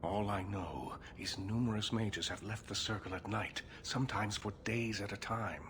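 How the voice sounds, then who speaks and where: An actor playing a grave elder male mage leader in a video game, speaking in a formal, careful, measured way. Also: on the low side, fairly bright tone, slight background noise, lightly acted. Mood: grave, guarded. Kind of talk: video game cutscene acting.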